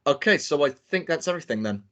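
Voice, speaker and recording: A man's voice: fairly high pitch, animated, quick pace, fairly bright tone. A young man directing a student film, talking hesitantly with many fillers. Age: young adult. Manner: hesitant, full of fillers and restarts. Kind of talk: casual planning call.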